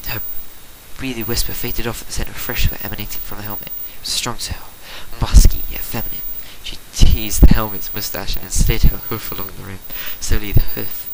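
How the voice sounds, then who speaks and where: A man's voice: fairly low pitch, little pitch movement, brisk. A man giving an amateur dramatic story narration in a mock-serious, theatrical way. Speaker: reader of fan fiction. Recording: clearly hissy home recording.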